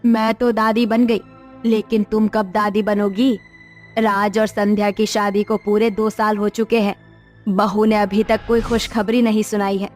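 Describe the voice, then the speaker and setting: Woman voicing a prying older neighbour, mid-pitched. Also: level tone, unhurried, a little mellow, soft background music, natural voice. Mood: chatty, prying. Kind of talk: Hindi cartoon story dialogue.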